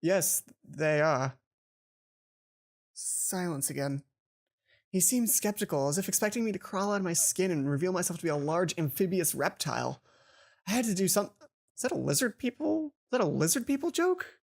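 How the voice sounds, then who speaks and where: A woman reading a visual novel aloud for a let's play, expressive, with casual asides of her own. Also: on the low side. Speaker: let's player.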